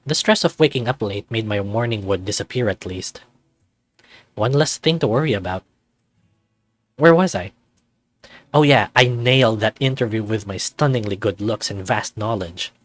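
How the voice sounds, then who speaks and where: A man's voice: lowish voice, lively intonation, moderate pace, pauses often, no echo. A young man reading first-person visual novel narration in a cocky, wry, conversational manner.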